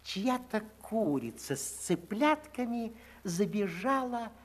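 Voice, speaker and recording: A man narrating a children's fairy tale in verse with an expressive storybook delivery, on an old 1960s record. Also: high voice, takes it slowly. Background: orchestral music.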